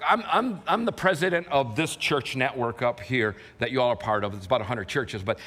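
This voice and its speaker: A middle-aged male pastor preaching a sermon as a story, shifting from loud impassioned shouting to quiet hushed telling. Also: medium pitch, expressive, brisk. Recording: long hall reverb.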